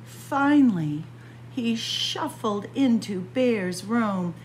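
A woman reading a picture book aloud to young children in an expressive manner, addressing them directly as friends. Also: mid-range voice, extremely slow, a little hiss or hum, poor audio. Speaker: library story time reader. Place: indoors in a library, by bookshelves.

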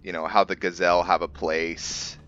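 Deep-voiced man doing halting game commentary. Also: flat delivery, fairly bright tone, dull audio. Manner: halting, thinking aloud. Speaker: gamer narrating a roleplay playthrough.

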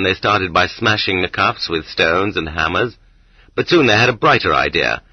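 Low, husky male audiobook narrator. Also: dry recording. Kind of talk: scripted narration of a nonfiction book. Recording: dull lo-fi cassette transfer, dry.

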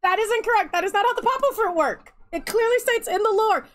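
A woman streamer with a light twang, ranting in exasperated gameplay commentary with loud outbursts and laughter. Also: extremely high pitch, fast.

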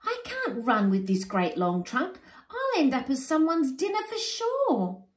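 A woman's voice: low-pitched, expressive, measured pace. A woman doing vain, panicky warthogs. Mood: panicked. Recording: roomy echo.

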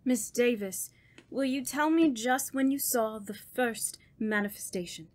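A woman playing a grave, authoritative doctor of the supernatural in a horror radio drama, speaking deliberately and giving clipped orders. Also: a little high, natural voice. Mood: grave, probing. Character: commanding, grave female doctor investigating the supernatural. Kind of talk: old-time radio horror drama acting.

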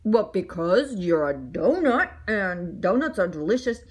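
A woman's voice: medium pitch, very animated, unhurried, very noisy, pitched below the performer's own voice. A woman voicing a bemused, sheepish man in a children's storybook, hesitant and stammering. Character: bemused, sheepish man who eats donuts. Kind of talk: children's storybook read-aloud.

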